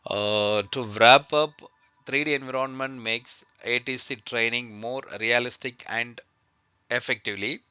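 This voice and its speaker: Male presenter, non-native English, slow and hesitant slide narration, crisp voice on a slightly dull recording. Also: mid-range voice, steady tone, bright, forceful tone, no echo. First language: not English. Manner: halting, hesitant delivery with repeated words. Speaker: presenter of an aviation training lesson. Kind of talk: slide presentation, partly read out.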